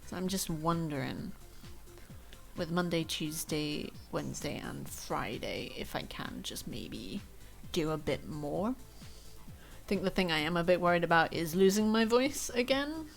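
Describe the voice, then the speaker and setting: A woman's voice: low-pitched, lively intonation, measured pace, vocal fry. A young woman streaming from home, chatting casually and rambling in a self-deprecating way. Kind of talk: casual chat to stream viewers. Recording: faint music behind.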